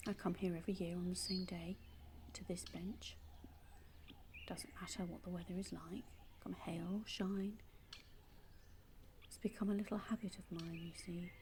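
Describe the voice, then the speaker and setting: A woman's voice: on the low side, little pitch movement, noisy background, close to an everyday voice. A woman with an English accent playing a solitary, wistful woman in an audio play monologue, confiding and reflective. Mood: fond, wry. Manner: musing, reflective, with tag questions and pauses. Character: solitary, wistful adopted woman searching for her birth mother.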